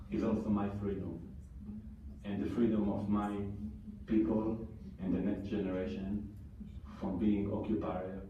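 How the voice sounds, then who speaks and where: Echoey, distant Israeli man, earnest and halting. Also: fairly low pitch, slight background noise. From southern Israel. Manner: earnest, halting, with many fillers and restarts. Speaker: activist speaker. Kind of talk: prepared testimony at a parliamentary hearing. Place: large parliamentary hearing room. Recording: distant, echoey, muffled room recording.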